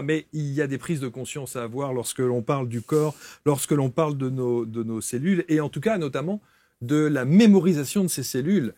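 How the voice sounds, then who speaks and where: A man's voice: a little high, a little mellow, no echo. Man hosting a talk show, dry sound.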